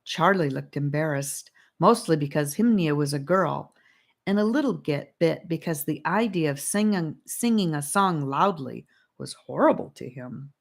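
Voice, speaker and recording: A woman narrating a children's fantasy audiobook in a gentle, even manner. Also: sits low, moderate pace, vocal fry. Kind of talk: storybook narration.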